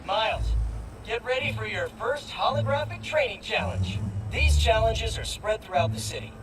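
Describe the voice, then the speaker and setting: A man's voice: sits high, animated, moderate pace, far from the mic, over music, poor audio. An actor playing a quippy male mentor who gives jokey, instructive training directions.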